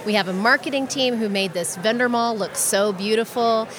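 A woman's voice: a little high, normal speed, crowd noise. A woman event organizer speaking with bubbly enthusiasm in an interview. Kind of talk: interview answers and stage presentation.

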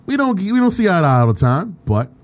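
A man hosting a casual podcast chat, excitable and profane, laughing often. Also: sits high, quick pace, soft background music. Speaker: podcast host.

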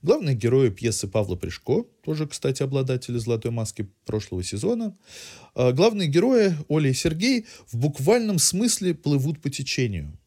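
A man presents a cultural review as a podcast host. His voice sits at a middling pitch and moves in very animated, varied intonation. The phrasing is erudite and literary, following a loosely scripted narration. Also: moderate pace.

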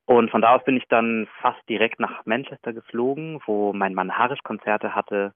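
A pianist talking over the phone as a guest, relaxed and self-deprecating, with frequent fillers. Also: male voice, mid-range voice, moderate pace, dry recording. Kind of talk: casual phone interview. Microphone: telephone. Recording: tinny phone line.

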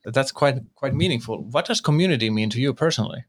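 A man co-hosts a podcast in a formal, scripted manner. He reads the season intro and the guest's tagline with careful pacing. His voice sits at an ordinary pitch for a man. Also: expressive, fast. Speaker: podcast co-host. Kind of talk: podcast hosting, partly scripted.

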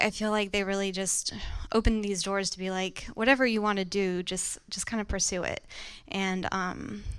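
A woman from France, a photographer, answering questions on stage in a thoughtful way with soft ums. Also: mid-range voice, clear, resonant tone. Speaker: photographer guest. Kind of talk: live on-stage interview.

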